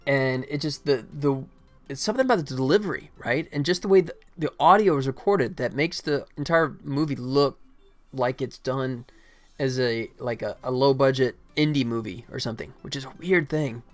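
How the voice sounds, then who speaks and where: A man's voice: medium pitch, moderate pace. Middle-aged male, indie dev streamer. Loose, self-deprecating rambling, music underneath. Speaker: indie game developer livestreamer. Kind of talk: casual livestream chat. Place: indoor room at a computer. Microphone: desktop microphone.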